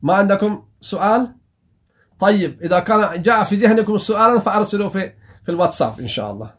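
A man from Afghanistan teaching grammar, who explains slowly and repeats phrases while asking himself rhetorical questions. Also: high voice, lively intonation, fairly bright tone. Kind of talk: grammar lesson.

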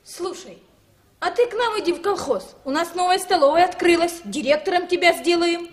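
A woman's voice: very high voice, normal speed. A woman playing an outspoken, practical kindergarten teacher in a 1960s radio drama, blunt and no-nonsense.

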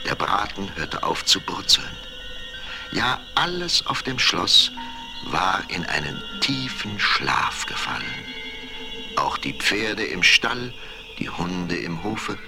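Deep voiced man narrating fairy tale, dramatic, super animated and crisp edged. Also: over music. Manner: theatrical, measured storytelling.

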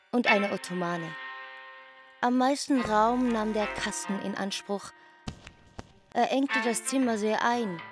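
A woman reading scripted literary narration for a radio drama, like spoken stage directions. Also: medium pitch. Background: music.

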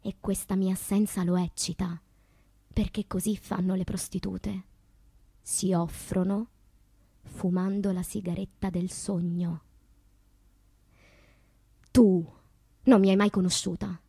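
An actress playing a passionate, wounded woman poet confessing her loves in a theatrical, poetic radio monologue, moving between hushed and impassioned delivery. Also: mid-range voice, little pitch movement, takes it slowly, gravelly, long pauses, breathy edge, dry recording, exaggerated voice. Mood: intimate, bitter.

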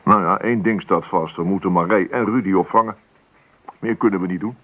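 An actor playing a grumbling, resigned middle-aged father in a radio play, gruff, muttering and dismissive. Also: deep voice, brisk, muffled recording. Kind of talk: naturalistic radio play acting. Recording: noisy old radio drama recording.

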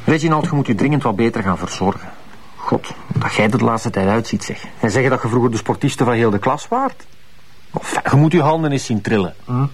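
A male actor plays a well-off, condescending Flemish schemer in a crime drama. His voice is of middling pitch, with a controlled, patronising, commanding manner. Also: expressive, fairly quick, a little hiss or hum, close to an everyday voice. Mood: patronising, controlling. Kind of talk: naturalistic TV crime drama acting.

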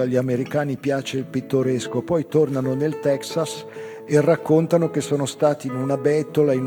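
An actor playing an old, weary Azorean tavern singer with a hoarse smoker's voice, delivering a wry, confiding theatrical monologue. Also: male voice, mid-range voice, lightly acted. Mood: wry, bitter. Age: older. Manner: brooding, confiding monologue to a silent stranger. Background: live acoustic guitar.

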